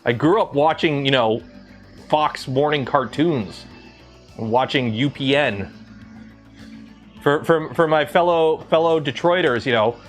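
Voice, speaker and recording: A man's voice: on the high side, a little slow, long pauses, soft background music. A man in his thirties from Southern Ontario with a light Southern Ontario Canadian accent, rambling casually with many fillers on a livestream. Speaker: 3D printing livestreamer.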